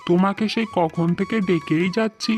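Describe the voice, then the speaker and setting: A woman puts on an eager village matchmaker, a man from a cartoon folktale. The voice is lowish and coaxing. Music plays behind it. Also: moderate pace, lightly acted. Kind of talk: Bengali moral-story cartoon voice acting.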